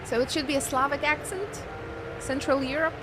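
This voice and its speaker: A woman's voice: sits high, fairly even intonation, normal speed, noisy background. A young woman from Poland with a Polish accent chats to her viewers while playing through a video game, musing aloud about the characters and story. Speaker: streamer. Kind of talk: live-stream gameplay commentary. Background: game music.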